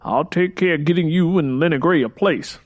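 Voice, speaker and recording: A man's voice: a little high, moderate pace, pitched above the performer's own voice, lightly acted. A man voicing an older, nurturing country woman in an audiobook story, folksy and take-charge. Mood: brisk, reassuring. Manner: folksy, assured.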